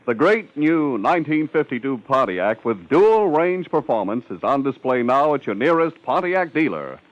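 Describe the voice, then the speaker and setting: A male announcer reads formal 1950s radio copy with strong emphasis. His medium-pitched voice is highly animated. The old recording has a dull, limited sound. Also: moderate pace, dry recording.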